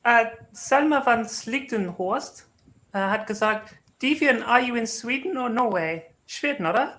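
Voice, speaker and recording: Woman, fairly high voice, stream host, reads chat aloud, short greetings, casual. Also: animated, a little slow, fairly bright tone. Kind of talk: casual chat, reading viewer comments.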